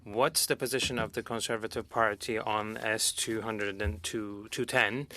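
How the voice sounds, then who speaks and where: Male live interpreter, lowish but bright forceful voice, halting phrase by phrase. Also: fairly even intonation, a little hiss or hum.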